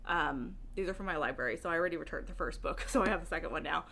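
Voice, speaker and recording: Bright-voiced, chatty woman vlogger. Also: deep voice, racing, gravelly, a little hiss or hum. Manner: giggly, laughs often while talking. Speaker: book reviewer vlogger. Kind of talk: casual chatty video monologue.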